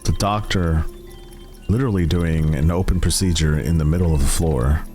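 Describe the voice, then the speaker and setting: Low slow guy game master, dramatic storytelling, music playing behind him. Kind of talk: dramatic tabletop game narration. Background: loud music.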